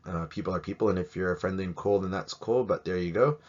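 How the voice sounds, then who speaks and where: Male teacher, low voice, jokey and chatty, dull lo-fi sound. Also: fast, darkish tone. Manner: chatty, jokey, asks many rhetorical questions. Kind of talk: casual educational talk to camera.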